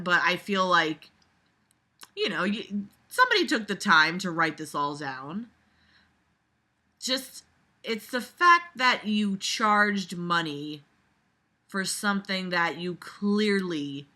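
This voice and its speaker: A woman's voice: lowish voice, bright, forceful tone. A woman giving casual, sarcastic commentary as she reads a book aloud, blunt and exasperated, swearing freely. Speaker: host reading a book aloud and critiquing it. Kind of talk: casual commentary mixed with mocking read-aloud.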